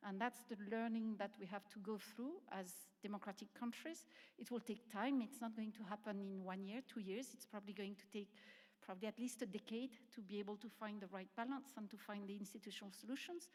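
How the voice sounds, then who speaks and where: A woman answering a question on a conference panel in a measured way, with frequent fillers and clipped restarts. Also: mid-range voice, fairly even intonation, darkish tone. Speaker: panelist from an international policy organisation. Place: conference centre session room. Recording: long reverb of a large hall.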